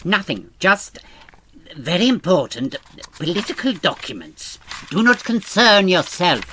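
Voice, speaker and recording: An actor playing a pompous, irritable and scheming court lord in a comedy audio drama, with grandiose, haughty diction. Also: male voice, high voice, big pitch swings, a little slow, bright, forceful tone, a little hiss or hum, heavily acted. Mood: flustered, evasive.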